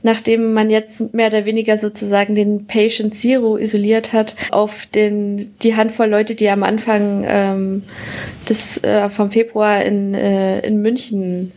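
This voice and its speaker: A woman's voice: medium pitch, level tone, unhurried, a little mellow. A woman, a biologist co-hosting a podcast, talking in a rambling way with many fillers and dry humor. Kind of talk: casual science chat.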